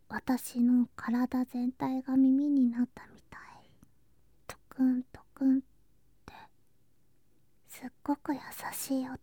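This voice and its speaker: A woman playing a sweet, clingy younger girl cousin in an ASMR voice drama, soft and breathy, often whispering, with a cutesy, affectionate manner. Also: high-pitched, no echo, studio quality. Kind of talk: Japanese ASMR situation voice drama. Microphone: close ASMR microphone.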